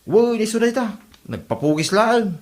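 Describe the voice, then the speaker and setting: Man acting lively easygoing Ilocano neighbour. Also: sits high, measured pace. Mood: casual. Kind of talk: Ilocano comedy audio drama acting.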